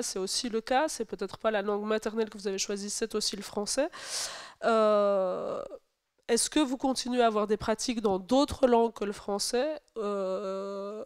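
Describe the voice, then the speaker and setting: Clear-voiced woman moderating a book talk. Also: medium pitch. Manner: careful questioning, some hesitation. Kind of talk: moderating a live literary talk. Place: public talk on stage.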